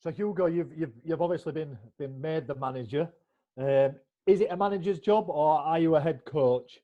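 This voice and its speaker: A man's voice: fairly high pitch, moderate pace. A man co-hosting a football podcast, asking questions in a chatty way with frequent stumbles. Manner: chatty, with repeated stumbles and fillers. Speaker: podcast co-host. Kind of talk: casual interview chat. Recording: dull lo-fi audio.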